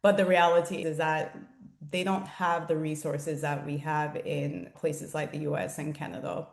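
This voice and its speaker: A woman's voice: low voice, flat delivery, normal speed, gravelly. A middle-aged woman from Jamaica giving conversational, explanatory answers as an interview guest. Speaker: interview guest, founder and consultant. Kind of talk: conversational interview answers about own career. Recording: roomy, echoing sound.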